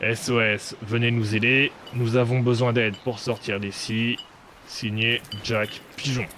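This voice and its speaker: An actor playing a vain, rum-loving pirate captain in a comic audio drama, blustering and whiny. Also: male voice, mid-range voice, little pitch movement, unhurried, fairly bright tone, slight background noise, lightly acted. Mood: smug. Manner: blustering, drunken, whiny outbursts. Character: boozy, vain male pirate captain, young adult. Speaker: pirate captain Jack Pigeon.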